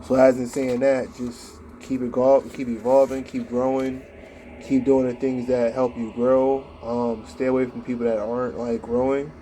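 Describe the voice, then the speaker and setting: A man, musing about spiritual growth in a loose, rambling way and leaning on "like" between thoughts. His voice is mellow and dark and sits at a middling pitch, with a flat, even delivery. A faint hiss runs underneath. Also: normal speed, poor audio. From United States. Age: late 20s. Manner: rambling, heavy on "like" fillers. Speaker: vlogger giving spiritual advice. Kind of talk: casual spiritual monologue.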